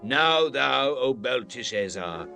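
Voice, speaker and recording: A man's voice: mid-range voice, sing-song, slow pace, vocal fry, bright, forceful tone, soft background music, heavily acted. An actor playing a proud, commanding Babylonian king in a solemn scripture dramatization, declaiming in a grand, stately way. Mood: solemn, troubled.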